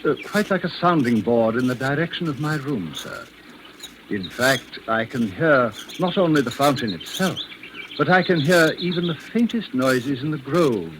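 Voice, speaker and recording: An actor playing a deferential, slyly menacing manservant in a 1940s radio drama, speaking in a formal, measured, obsequious way. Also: male voice, medium pitch, lightly acted. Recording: noisy vintage 1940s radio recording.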